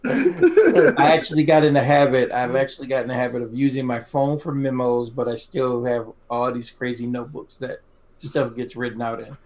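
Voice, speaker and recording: A man's voice: mid-range voice, normal speed, a little mellow. A man co-hosting a podcast in casual conversation. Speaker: podcast co-host. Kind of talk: casual chat.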